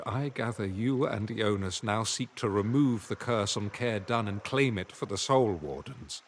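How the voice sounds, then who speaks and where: An actor playing a weary, honorable older man in a fantasy video game, speaking in a formal, solemn, measured way. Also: on the low side, slight background noise. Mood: earnest, respectful. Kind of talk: scripted video game dialogue.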